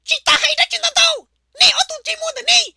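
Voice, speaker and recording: A woman voicing an excitable, shrill comic cartoon character with a squeaky, creaky voice, prone to bursting into shouts. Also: fast talker, no echo, clean studio sound, exaggerated voice. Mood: agitated, shouting.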